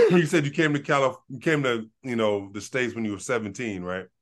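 A man's voice: medium pitch, brisk. A man hosting a podcast interview, casual and agreeable, often saying "you know what I'm saying". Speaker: podcast host.

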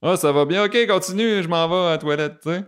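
A man's voice: a little high, fast, clear, resonant tone. A man with a Québécois accent, a podcast guest who talks casually and acts out the comments people send him. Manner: animated, acts out quoted comments. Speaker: podcast guest, vegan chef. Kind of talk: casual conversation.

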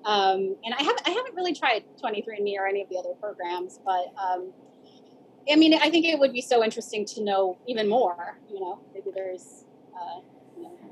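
A woman's voice: mid-range voice, lively intonation, brisk, slight background noise, rough recording. A woman with an American accent reflecting casually on her own life as an interviewee, often saying "like" and "you know". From San Diego border region, United States. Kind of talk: reflective casual personal storytelling.

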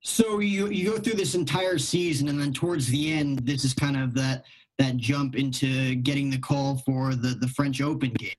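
Man, mid-pitched voice, interview host, casual, informal, rambling conversational questions. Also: steady tone, normal speed, poor audio.

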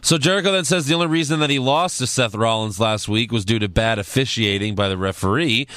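A man's voice: medium pitch, a bit bright, dry recording. A man with a slightly nasal voice, recovering from a sinus infection, recapping wrestling in casual podcast chat. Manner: recaps with quick asides and laughs. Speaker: podcast host.

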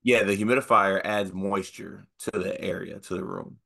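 A man's voice: deep voice, fairly even intonation, moderate pace, creaky, a bit bright. A man co-hosting a casual sports podcast, stuffed up with the sniffles, sounding nasal. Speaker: podcast co-host.

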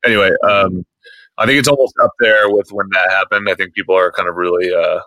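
A man's voice: low voice, steady tone, a little fast, bright, forceful tone, no room sound, poor audio. A man hosting a casual podcast chat, rambling with frequent restarts and fillers. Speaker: podcast host. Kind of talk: casual chat about internet posts.

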